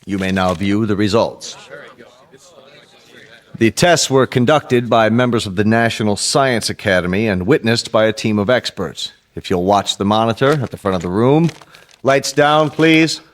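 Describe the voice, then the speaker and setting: A man's voice: fairly low pitch, normal speed, lightly acted. A man playing a composed, authoritative senior diplomat in a radio drama, formal and assured as he chairs. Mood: formal, businesslike. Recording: faint background noise, clicks.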